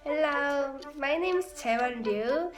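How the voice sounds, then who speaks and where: A woman's voice: high-pitched, animated, slow. A young woman, an exchange student, casually introducing herself and her studies with a few hesitant fillers. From South Korea. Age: 20s. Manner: chatty, occasional hesitant fillers.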